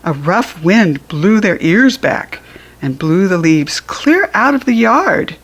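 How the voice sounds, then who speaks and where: An American woman narrates a children's picture book. She reads slowly and deliberately in a medium-pitched voice, with expressive storytelling delivery.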